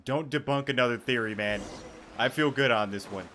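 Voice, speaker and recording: A man's voice: mid-range voice, brisk, pushed and bright, slight background noise. A young man giving casual gameplay commentary, rambling and thinking aloud in slangy, sweary speech. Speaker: gaming YouTuber.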